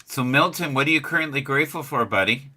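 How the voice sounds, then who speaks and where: Guy hosting a chill live talk, stops and restarts a lot, super bright voice. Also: medium pitch. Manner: halting, restarts, many fillers. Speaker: host. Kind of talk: casual chat.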